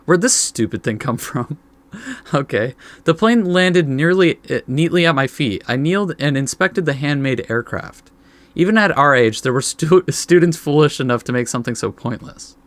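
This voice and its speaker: A man's voice: on the high side, animated, normal speed, no echo. A man hosting a let's play, reading a visual novel aloud with informal commentary and stumbling over the text now and then.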